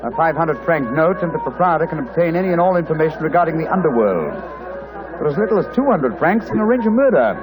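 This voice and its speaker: An actor playing a shrewd English gentleman detective with a British accent, urbane, courteous and wryly amused, in old-time radio drama style. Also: a little high, big pitch swings, fast talker, over music, low-quality recording. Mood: assured. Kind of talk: 1940s radio acting.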